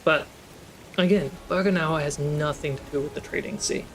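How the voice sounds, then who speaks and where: A man working as game master, narrating a tabletop fantasy game with deliberate, dramatic storytelling pauses. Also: a little high, a little hiss or hum, poor audio. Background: storm and ship sound effects.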